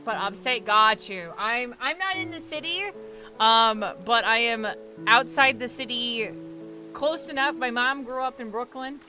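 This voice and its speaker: A woman's voice: a little high, a little slow, over music. A young woman with a New York accent, chatting casually with her live-stream audience while gaming, talkative and laughing often.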